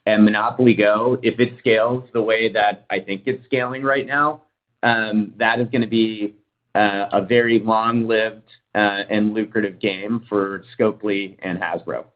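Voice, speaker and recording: A man with a fairly low voice answers as a company executive on an earnings call. He talks in measured corporate language with conversational asides. The sound is slightly dull, like a conference line. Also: fairly even intonation. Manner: measured, hedging with "you know".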